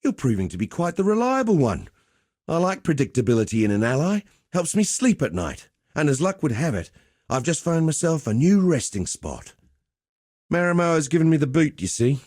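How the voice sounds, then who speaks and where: A roguish gladiator master from a video game, easygoing and cocky. His mellow voice swings freely in pitch as he teases and goads with wry swagger. The recording is close and dry. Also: big pitch swings, normal speed, no echo. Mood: sly, casual. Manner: wry, teasing, conversational swagger. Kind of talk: video game character dialogue.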